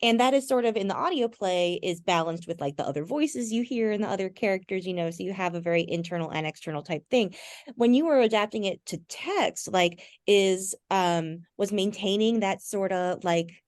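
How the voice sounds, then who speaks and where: Lady podcast host asking stuff, mid pitch, bit tentative, really dry sound. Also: moderate pace, no echo. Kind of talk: casual interview questioning.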